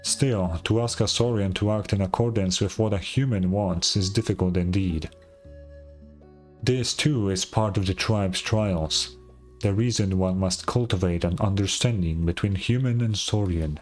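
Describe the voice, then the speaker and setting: Give me an earnest scholarly game guide, slow, formal and courteous, over music. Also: male voice, low-pitched. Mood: apologetic, thoughtful. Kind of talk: video game voice acting.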